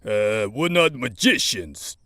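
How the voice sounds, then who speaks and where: A man's voice: mid-range voice, sing-song, takes it slowly, sharp tone, dry recording, clean studio sound, full character voice. A man voicing a dim, obliging crook's henchman in a cartoon comic dub, hesitant and deferential. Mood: obedient.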